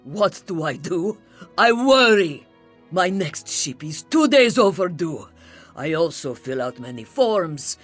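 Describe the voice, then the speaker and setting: A man's voice: sits high, big pitch swings, slow pace, fairly bright tone, soft background music, heavily acted. A man playing a fretful, fussy bureaucrat in a video game, sounding anxious and fussy. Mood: fretful, exasperated. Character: fretful, fussy imperial bureaucrat who worries. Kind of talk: video game character acting.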